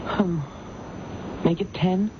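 An actor playing an evasive, defensive executive under suspicion in a radio mystery drama. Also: male voice, high voice, fairly even intonation, takes it slowly. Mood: wary, sardonic. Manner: evasive, protesting. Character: evasive, defensive male murder suspect, secretive executive. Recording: muffled, noisy vintage radio broadcast recording.

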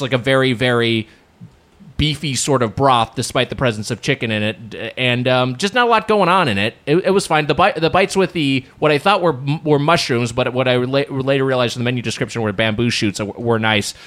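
A man's voice: medium pitch, animated, brisk, a bit bright. American man in his thirties, wordy podcast co-host. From Long Beach, California, United States. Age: late 30s. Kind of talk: casual comedic food review chat.

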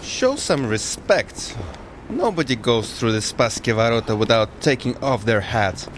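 An actor playing a stern, anxious Russian escort in an audio drama, with a Russian accent and a dramatic, exasperated manner. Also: male voice, medium pitch, animated, unhurried, lightly acted. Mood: proud, solemn. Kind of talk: audio fiction drama acting. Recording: echoey reverberant hall, background noise.

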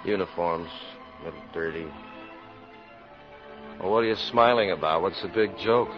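A man's voice: low-pitched, moderate pace, faint music behind, close to an everyday voice. A man acting a tired, busy doctor and family man in a 1950s radio drama. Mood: weary, evasive. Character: busy, weary male doctor, husband and father. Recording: dull old radio broadcast recording.

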